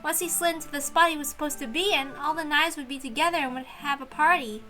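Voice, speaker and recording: Female story reader, very high and bright, expressive visual novel narration with soft music underneath. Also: quick pace.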